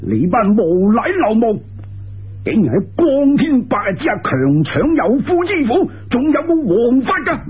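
A man's voice: very high-pitched, big pitch swings, moderate pace, breathy edge, muffled recording, heavily acted. A man playing a righteous, hot-blooded Taoist priest with a Cantonese accent, earnest and emphatic, in a told folk tale. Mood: indignant.

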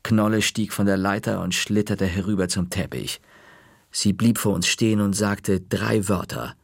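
A man narrating a children's story in an expressive, unhurried way. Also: on the low side, creaky, husky, no room sound, studio quality.

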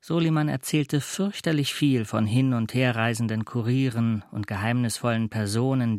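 A man reading literary narration for a radio play, with measured and precise phrasing. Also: lowish voice, no room sound, studio quality.

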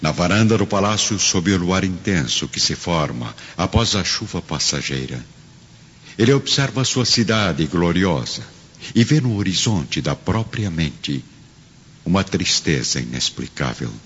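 A man's voice: sits low, sing-song, measured pace, a bit bright, slight background noise. A man narrating a radio drama in a solemn, literary, scripted style. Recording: dull audio. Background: music.

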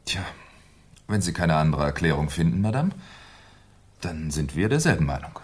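Deep sly detective in an old radio play, super polite but needling, voice swings around a lot. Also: male voice, expressive, breathy edge, full character voice. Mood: mocking. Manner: ironic, mock-courteous, deliberate. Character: sardonic, shrewd Paris police inspector, needling with mock politeness. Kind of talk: 1970s German radio crime drama acting.

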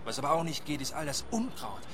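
A man's voice: on the high side, sing-song, fast, background noise. A man playing a self-absorbed, indifferent artist in a radio play, lofty and emphatic.

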